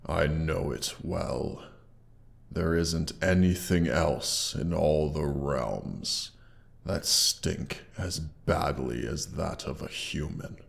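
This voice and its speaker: An extremely low, gravelly dragon speaks with haughty menace. He draws out his words very slowly, with theatrical pauses and a condescending air. The voice has monstrous processing, as in a fantasy audio roleplay. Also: heavily acted. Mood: suspicious. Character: injured, haughty male dragon guarding his treasure hoard. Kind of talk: scripted roleplay audio drama.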